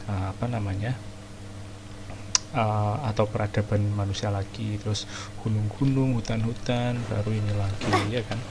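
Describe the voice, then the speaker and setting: A man's voice: on the low side, little pitch movement, fairly quick, noisy background. A man with an Indonesian accent doing casual, slangy commentary as he plays a game, often muttering to himself. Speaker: gaming streamer. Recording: game music behind the voice.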